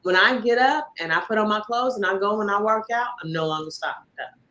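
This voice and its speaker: Animated woman host repeating for emphasis. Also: mid-range voice, brisk. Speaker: podcast host. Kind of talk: casual interview chat.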